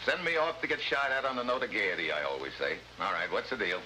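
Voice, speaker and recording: A man's voice: lowish voice, fast talker, pushed and bright. An American man playing a tough, wisecracking special agent in a 1950s adventure drama, with a sardonic, slangy delivery. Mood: wry, sardonic. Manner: hard-boiled, sardonic, slangy. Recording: faintly noisy vintage 1950s TV soundtrack.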